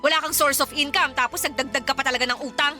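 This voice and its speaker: A woman's voice: sits high, steady tone, quick pace, pushed and bright, faint music behind. A woman acting a hardworking, fed-up girlfriend in a radio drama, practical and reproachful. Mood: exasperated. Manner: nagging, reproachful. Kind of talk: radio drama acting in Filipino love story.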